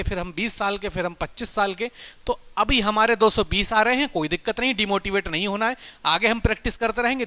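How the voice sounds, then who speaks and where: A man's voice: high voice, bright, forceful tone. A man giving an informal motivational exam-coaching talk in an emphatic, repetitive, exhorting manner. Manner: emphatic, repetitive, exhorting, addressing listeners as brothers and friends.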